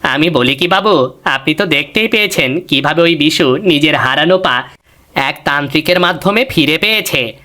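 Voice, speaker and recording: A man voicing an excitable, scheming villager who talks in an alarmed, deferential way. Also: on the high side, normal speed. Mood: alarmed, urging. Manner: animated, alarmed.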